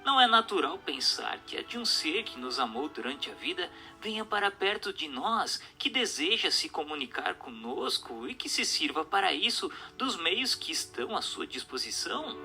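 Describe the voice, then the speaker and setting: A man delivers dramatic scripted narration in a fairly high, very sharp and forceful voice. His phrasing is formal and rhetorical, with lively rises and falls in pitch, like a declaimed argument. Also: moderate pace, soft background music. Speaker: narrator of an audiobook radio drama.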